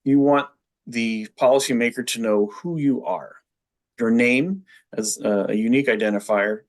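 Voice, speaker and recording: A man presenting a training webinar, explaining software step by step in a methodical way. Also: medium pitch, normal speed.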